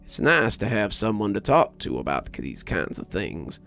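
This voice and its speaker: A man's voice: fairly low pitch, big pitch swings, normal speed, gravelly, fairly bright tone, faint music behind, pitched below the performer's own voice, exaggerated voice. A man voicing an earnest, confiding male friend who believes in folk creatures, in a story reading. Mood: earnest, cautioning.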